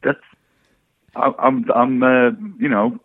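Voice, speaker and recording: A man's voice: mid-range voice, steady tone, slow, creaky, no room sound, narrow, tinny audio. A man co-hosting a podcast over a remote call, chatting casually and wryly on a thin line. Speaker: podcast co-host. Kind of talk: casual chat and football discussion.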